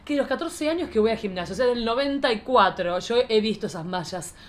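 A woman in her forties with an Argentine accent, hosting a casual comic chat in an energetic manner. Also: fairly high pitch, fast. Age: 40s. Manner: animated, self-described as very energetic. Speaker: podcast host.

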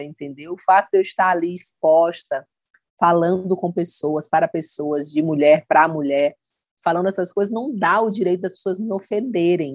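A woman in casual conversation, talking earnestly and thoughtfully at a middling pitch. She often slips into quoting other people. Her voice sounds dry and close, with no room echo. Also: darkish tone. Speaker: content creator.

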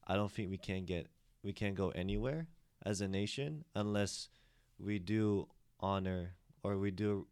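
A man's voice: lowish voice, fairly even intonation, unhurried, darkish tone, clean tone, no room sound, clean studio sound. A man who grew up in the States talking loosely in a group discussion, full of fillers and restarts. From United States. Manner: rambling with many restarts and fillers. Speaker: discussion participant.

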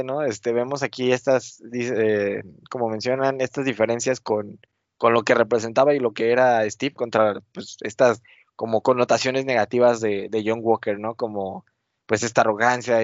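A man's voice: medium pitch, fairly even intonation, normal speed, no echo. Chatty man, muffled dry sound. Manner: rambling, many fillers, acts out quotes with laughs. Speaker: podcast co-host. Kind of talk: casual chat recapping a TV episode. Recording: dull lo-fi sound.

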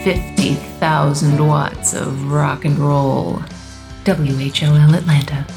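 An actor playing a rock station announcer in a punchy, drawn-out 1980s jingle style. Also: male voice, fairly high pitch, fairly even intonation, gravelly, over music. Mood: hyped. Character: booming male rock radio station announcer.

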